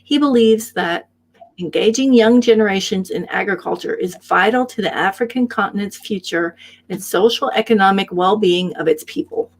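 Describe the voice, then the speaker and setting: A woman's voice: mid-range voice, normal speed. A woman hosting a live show, reading out a guest introduction with small slips and self-corrections. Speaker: host.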